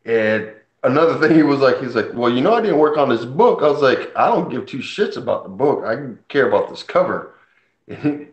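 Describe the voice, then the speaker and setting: A man's voice: medium pitch, lively intonation, a little fast. A man from Puerto Rico, Spanish his first language, casually chatting as host about comics with enthusiastic, rambling stories. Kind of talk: casual chat. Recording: dull roomy sound.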